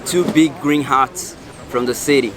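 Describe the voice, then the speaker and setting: A man's voice: medium pitch, fairly even intonation, measured pace, a crowd in the background. A man from Rio with a Brazilian Portuguese accent, giving earnest opinions in a street interview, hesitating with "uh.". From Rio de Janeiro, Brazil. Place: city street outdoors. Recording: street with traffic noise.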